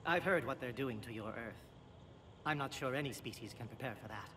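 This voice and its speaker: A man playing a brisk amphibian alien military officer in a video game, speaking in a clipped, formal, dry way. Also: medium pitch, natural voice. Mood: brisk, wry. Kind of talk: video game cutscene acting. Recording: faint background noise in game capture.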